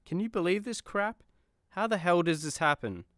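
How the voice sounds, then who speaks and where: A man's voice: high-pitched, lively intonation, normal speed, a bit bright, no room sound, clean studio sound. An actor playing a vain, sulky male superhero in a radio comedy, griping with indignant sarcasm. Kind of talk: comedy radio play acting.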